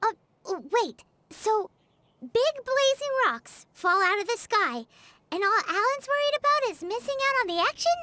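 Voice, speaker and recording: A woman playing a small, excitable fairy companion in a video game, squeaky and exclamatory. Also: a little slow, heavily acted. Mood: indignant. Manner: squeaky, exclamatory, speaks of self in third person. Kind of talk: animated video game voice acting. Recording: music playing behind the voice.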